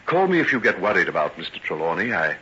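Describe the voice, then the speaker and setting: An actor in an old-time radio drama playing a soothing, apologetic gentleman doctor with a polite, formal, hesitant manner. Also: low-pitched, big pitch swings, fast talker, full character voice. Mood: courteous. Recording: dull old radio recording, faint noise.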